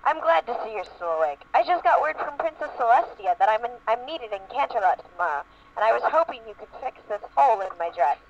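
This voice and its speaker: A man's voice: extremely high pitch, sing-song, fast, hardly any pauses. A man reading fan fiction aloud in an amateur group dramatic reading. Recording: muffled noisy lo-fi voice-chat recording.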